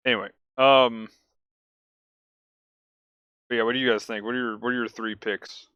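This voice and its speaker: A man's voice: medium pitch, fairly even intonation, normal speed, pushed and bright, clean tone, no room sound, lo-fi sound. A man chatting casually as a co-host on a gaming podcast.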